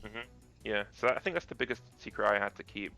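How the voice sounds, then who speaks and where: A man with a naturally deep voice, a guest actor chatting casually about acting, rambling and restarting his sentences. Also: expressive, fast talker, gravelly, pushed and bright, soft background music.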